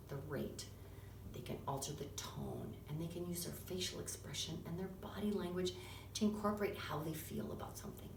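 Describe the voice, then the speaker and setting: A woman teacher explaining reader's theatre to a class and then narrating the story with lots of expression. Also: low voice. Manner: expressive, animated, with hushed emphasis. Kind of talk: classroom instruction and storybook reading. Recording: echoey classroom, far mic, clear background noise.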